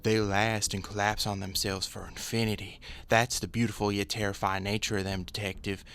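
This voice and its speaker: Male groundskeeper role for radio drama, acted by a man: lowish voice, gloomy, self-pitying, theatrically mournful. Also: level tone, brisk, gravelly, a bit bright, smooth. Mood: solemn, awed.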